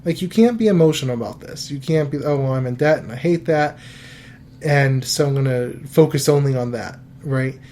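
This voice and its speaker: A man's voice: medium pitch, moderate pace, a little hiss or hum. Man in his thirties talking money. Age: 30s. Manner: relaxed, rambling, with frequent fillers and restarts. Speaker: podcast host giving financial advice. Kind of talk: casual podcast chat.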